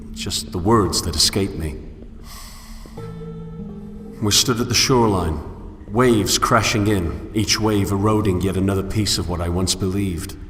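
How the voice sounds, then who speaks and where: An actor playing a melancholy, introspective wanderer who narrates a game in a measured, reflective, literary manner. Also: male voice, low voice, a bit bright. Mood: sorrowful. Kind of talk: scripted poetic game narration.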